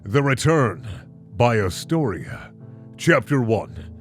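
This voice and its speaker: Guy reading fan fiction and reacting, super animated and sarcastic, music going behind him. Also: mid-range voice, slow. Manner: mock-dramatic, sarcastic reactions. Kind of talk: comedic dramatic reading with casual commentary.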